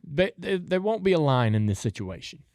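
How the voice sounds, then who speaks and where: A man's voice: fairly high pitch, big pitch swings, moderate pace, creaky, a little mellow, no echo. A man with a Southern US accent chatting casually about racing as a podcast host, in a rambling, folksy, easygoing way.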